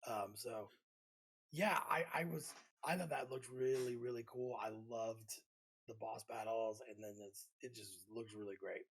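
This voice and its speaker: A man's voice: mid-range voice, a little slow, husky, poor audio. A man co-hosting a podcast, chatting informally and giving his opinions on games. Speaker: podcast co-host. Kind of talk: casual chat about games.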